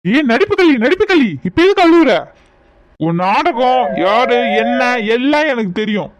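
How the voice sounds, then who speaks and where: A performer voicing a quick-tempered but doting father in a family comedy skit. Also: high voice, big pitch swings, crowd noise. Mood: angry, scolding. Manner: scolding, then fond cooing.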